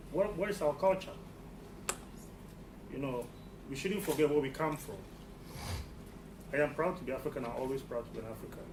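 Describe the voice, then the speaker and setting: Earnest man, distant in an echoey hall. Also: medium pitch. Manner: earnest, repeats himself, restarts phrases. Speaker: audience member at a press conference. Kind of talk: spontaneous public comment. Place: press conference hall. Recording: distant mic in an echoey hall with background noise.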